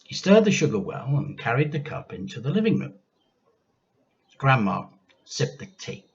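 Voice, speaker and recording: A man reading a children's storybook aloud like a teacher, with expressive, deliberate and emphatic delivery. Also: medium pitch, lo-fi sound.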